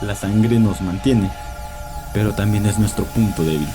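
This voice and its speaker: An actor playing an elderly, cunning vampire who poses as a doctor, speaking with measured courtesy and cold menace in an audio drama. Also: male voice, on the low side, natural voice. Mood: cold, philosophical. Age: older. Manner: courteous, measured, wise-sounding, coldly menacing. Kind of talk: dramatized audio story acting. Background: prominent music.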